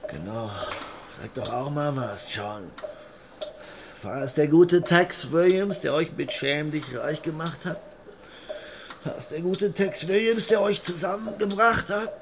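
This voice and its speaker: A bitter ruined bandleader. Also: male voice, a little high, a little slow, noisy background. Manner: ranting, self-pitying. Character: bitter ruined male bandleader, middle-aged, boastful. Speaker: washed-up western swing bandleader. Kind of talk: radio play acting.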